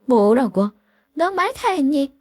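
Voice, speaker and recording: A woman voicing a long-hurt wife who confronts her childhood bully with sharp, cold retorts. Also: sits high, big pitch swings, slow pace, dry recording, studio quality, voice pushed up, full character voice. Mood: stunned. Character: wife, middle-aged woman, long-hurt and now defiant.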